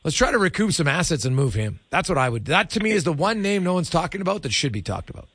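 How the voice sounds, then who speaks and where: A man's voice: fairly high pitch, very animated, fast talker, a bit bright, husky, no echo. A male sports radio host giving emphatic, opinionated hockey commentary in short, punchy sentences.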